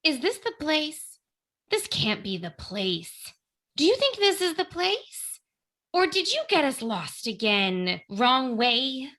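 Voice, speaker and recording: A nervy, wisecracking female burglar from a comic radio play, played by a woman. She bickers in a high, very animated voice and takes her time over each line. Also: takes it slowly, full character voice. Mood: nervous, wisecracking.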